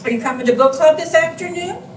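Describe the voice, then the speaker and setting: An older woman playing a cheerful, concerned elderly friend in an expressive readers theatre stage reading. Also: high-pitched, fast, close to an everyday voice. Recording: distant mic in echoey room, background noise.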